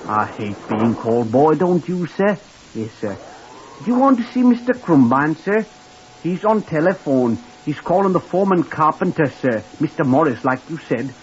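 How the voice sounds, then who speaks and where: A man's voice: mid-range voice, a little mellow, lightly acted. An actor playing a simple, eager young hotel lift boy who rambles deferentially and calls everyone sir, in old-time radio acting. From Blackpool, Lancashire. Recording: muffled old radio recording with hiss.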